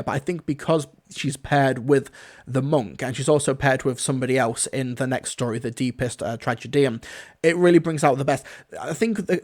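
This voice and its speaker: A man's voice: medium pitch, darkish tone. A man giving a casual livestream review in an enthusiastic, conversational way, repeating words for emphasis.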